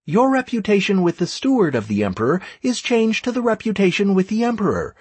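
A man voicing a formal, declamatory game system announcer in a fantasy audiobook, making brisk proclamations. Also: sits high, sing-song, husky, no room sound, voice pushed up, heavily acted. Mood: jubilant proclamation.

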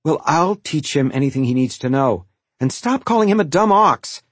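A man's voice: medium pitch, expressive, normal speed, slightly rough, dry recording, pitched above the performer's own voice. A man voicing a worn-out, exasperated mother who pleads with and scolds her sons in an audiobook story. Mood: stern. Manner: pleading, sighing, snapping into yells.

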